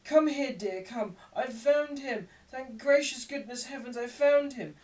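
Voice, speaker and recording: A young woman feigning tearful distress, as a reader puts her on wails in a shrill, loud, overwrought voice. The voice sits some way from the microphone. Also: measured pace, far from the mic. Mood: hysterical.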